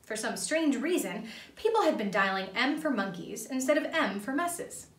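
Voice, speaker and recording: Animated young woman reading a storybook. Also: on the high side, normal speed. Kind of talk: children's storybook read-aloud. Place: small indoor playroom. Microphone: camera a few feet away. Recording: roomy, distant camera microphone.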